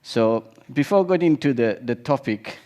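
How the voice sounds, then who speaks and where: A man's voice: medium pitch, expressive, moderate pace, dark tone. A man in his thirties from Sri Lanka, giving an informal conference talk with frequent restarts and fillers. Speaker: conference speaker.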